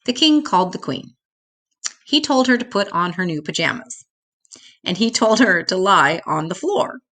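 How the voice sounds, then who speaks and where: An older woman reading a children's picture book aloud in a deliberate, expressive way, drawing out key words for young listeners. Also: mid-range voice.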